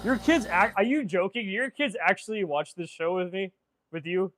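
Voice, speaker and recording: A man in his twenties with a slightly high voice chats loosely to a live audience. He reads out comments and rambles back at them, laughing and tripping over his words as he goes. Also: normal speed, a bit bright. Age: 20s. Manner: laughs a lot, stumbles and repeats words. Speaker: streamer. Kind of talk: casual livestream chat.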